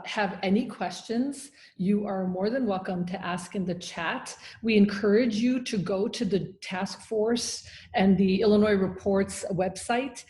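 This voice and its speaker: A woman's voice: mid-range voice, a little mellow. A woman hosting an online round table in an informal, encouraging and enthusiastic manner. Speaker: host and moderator.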